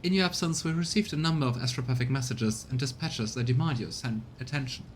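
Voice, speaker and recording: A man voicing an awkward, deferential factotum in a video game, speaking quietly and formally. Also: fast, close to an everyday voice. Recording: low rumbling background noise.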